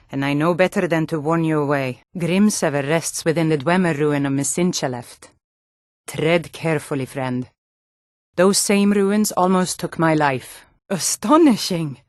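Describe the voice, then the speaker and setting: Actress voicing a low, hardy Nord warrior woman. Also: no room sound, studio quality, natural voice. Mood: grave, wistful, then earnest, respectful. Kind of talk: scripted fantasy video game dialogue.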